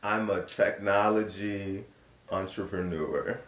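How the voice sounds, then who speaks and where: A very deep-voiced man in his thirties, from Virginia, who cracks dry jokes at his wife during a casual couples Q&A. His delivery is teasing and laid back. The room around him sounds echoey. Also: fairly even intonation, slow pace, fairly bright tone. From Virginia, USA. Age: 30s. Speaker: co-host husband. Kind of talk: casual joking chat.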